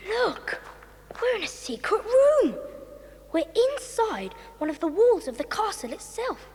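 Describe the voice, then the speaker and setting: Brisk, high-pitched bossy young boy, reverberant. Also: very animated, darkish tone, full character voice. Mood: curious, commanding. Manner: earnest, exclamatory, commanding. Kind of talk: children's audio drama acting. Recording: echoey reverberant hall, noisy.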